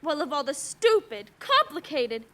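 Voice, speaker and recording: A woman's voice: sing-song, measured pace, sharp tone, exaggerated voice. A woman playing a demanding, self-pitying bedridden wife in a melodramatic suspense radio play. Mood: indignant. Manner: shrill, imperious, melodramatic.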